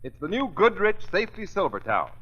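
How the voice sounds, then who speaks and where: A man's voice: high voice, wildly swooping pitch, brisk, fairly bright tone. An American man reading a scripted 1930s radio commercial as announcer. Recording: dull old 1930s radio recording.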